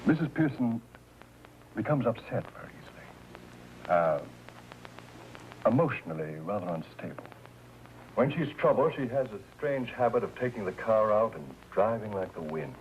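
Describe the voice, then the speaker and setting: An actor playing a frank, well-off employer in a 1950s crime drama, deliberate and tactfully businesslike. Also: male voice, fairly low pitch, very animated. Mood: frank, concerned. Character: measured, frank, well-off husband hiring a chauffeur. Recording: dull, noisy old film soundtrack.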